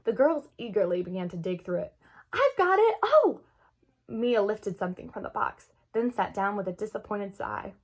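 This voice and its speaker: A woman reading a children's chapter book aloud as an expressive storyteller. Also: medium pitch, fairly quick, hardly any pauses.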